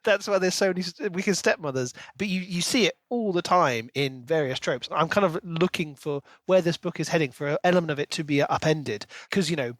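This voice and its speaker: Male podcast co-host, slightly high voice, dry close sound, explains his reasoning in casual book discussion. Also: a little fast, few pauses, fairly bright tone, dry recording. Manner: analytical, explanatory.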